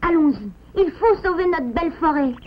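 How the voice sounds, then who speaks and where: A woman's voice: extremely high pitch, expressive, normal speed, a little hiss or hum. A woman voicing a plucky, eager young boy beaver in a French cartoon dub. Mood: eager, earnest. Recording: muffled cartoon soundtrack, loud music.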